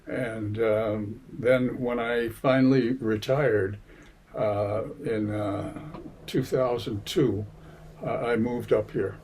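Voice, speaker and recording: A man in his seventies from Massachusetts, giving an oral history interview in a library, unhurried and full of hesitations. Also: fairly low pitch, fairly even intonation, a little mellow, slight background noise. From Massachusetts, USA. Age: 70s. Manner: unhurried, many hesitations and fillers. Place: public library.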